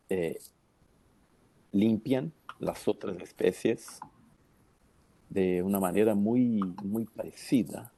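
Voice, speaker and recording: A man with a very low, dark voice and a Brazilian Portuguese accent, commenting in an academic discussion. He talks slowly, with frequent fillers, searching for words in a language that is not his own. The sound is dry and close. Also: no room sound.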